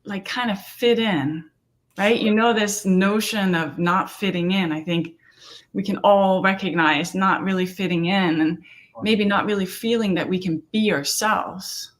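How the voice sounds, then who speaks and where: A woman's voice: medium pitch, a little slow. A middle-aged woman from Denmark, a therapist and coach speaking earnestly in an interview and often asking "right?". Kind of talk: conversational interview.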